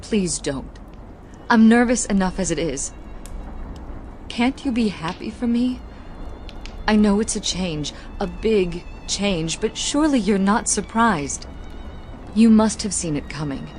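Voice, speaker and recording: An actress playing a determined, anxious young daughter in a radio drama, speaking earnestly and imploringly. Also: female voice, mid-range voice, expressive, measured pace, pauses often, a little mellow, faint music behind, close to an everyday voice. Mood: nervous, determined. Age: young adult.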